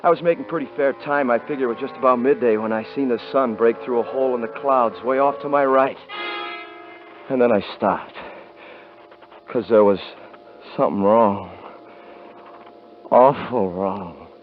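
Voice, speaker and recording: An actor playing a frightened young hunter who also narrates, in a 1950s radio drama, stammering and panicky. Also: male voice, medium pitch, normal speed, long pauses, soft background music, lightly acted. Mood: tense narration. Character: lone young male hunter, frightened, haunted. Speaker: narrator and lead character. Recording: dull old radio broadcast.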